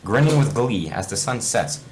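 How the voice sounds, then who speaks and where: A man's voice: on the low side, animated, normal speed, darkish tone, slight background noise, low-quality recording. A man reading a fan fiction story aloud informally, stumbling over words and correcting himself. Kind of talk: informal read-aloud narration among friends.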